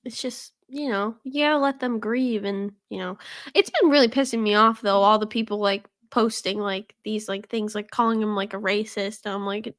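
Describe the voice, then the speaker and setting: A woman's voice: mid-range voice, steady tone, moderate pace, no room sound, studio quality. A woman co-hosting a podcast, congested from a cold, rambling with lots of "like" and frequent laughs. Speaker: podcast co-host. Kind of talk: casual chat. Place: podcast studio.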